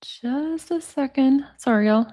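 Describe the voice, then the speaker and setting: A woman's voice: high-pitched, unhurried, warm tone. A woman in her forties hosting a livestream. She reads text messages aloud and chats with viewers in the comments. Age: 40s. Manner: chatty, talks to viewers in the comments. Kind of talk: casual livestream chat, reading texts aloud.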